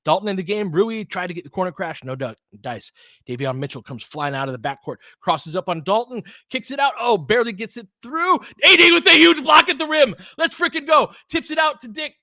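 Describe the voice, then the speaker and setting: A man's voice: high voice, expressive, brisk, sharp tone, no room sound, studio quality. A man doing live basketball play-by-play as a partisan fan, in short clipped phrases with casual, slangy exclamations and bits of analysis. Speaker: fan play-by-play commentator and host.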